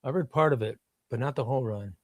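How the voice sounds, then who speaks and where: A man's voice: mid-range voice, fast talker, no room sound, studio quality. A man from Illinois whose first language was Spanish, hosting a casual livestream and reading viewer comments in a rambling, offhand way with some swearing. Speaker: comic book seller and livestream host.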